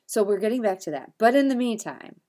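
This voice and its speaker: A woman co-hosting a podcast in a bubbly, animated way, full of quick asides. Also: a little high. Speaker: podcast co-host. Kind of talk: casual podcast chat.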